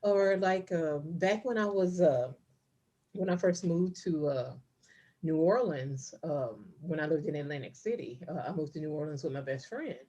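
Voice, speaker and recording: A woman's voice: deep voice, normal speed, warm tone, dull audio. A middle-aged woman co-hosting a podcast, telling stories casually with lots of fillers and restarts. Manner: storytelling, many fillers and restarts. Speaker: podcast co-host. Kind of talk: casual chat.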